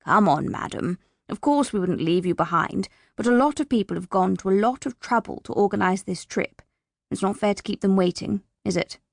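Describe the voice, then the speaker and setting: A woman voicing a stern but kindly female teacher in a storybook, with a brisk, bossy, scolding manner. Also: mid-range voice, creaky, darkish tone, no room sound, studio quality. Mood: chiding.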